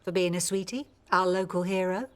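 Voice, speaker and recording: A woman's voice: fairly low pitch, fairly even intonation, fairly quick, close to an everyday voice. An actress playing a flirtatious, welcoming village pub landlady in a radio soap. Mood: teasing, warm. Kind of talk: radio soap opera acting. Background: crowd chatter.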